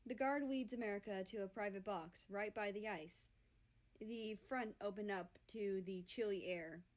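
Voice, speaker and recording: A woman's voice: mid-range voice, normal speed. A woman reading a story aloud as an amateur narrator. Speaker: amateur fan-fiction reader.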